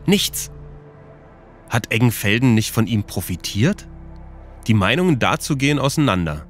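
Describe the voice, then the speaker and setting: A man's voice: medium pitch, big pitch swings, moderate pace, faint music behind. A man reading scripted narration as the host of a documentary podcast.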